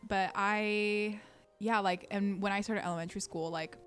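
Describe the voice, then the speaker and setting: A woman's voice: medium pitch, steady tone, moderate pace, a bit bright. A young woman whose first language was Korean, chatting casually as a podcast guest, filling her talk with "like" and laughing often. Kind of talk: casual conversation.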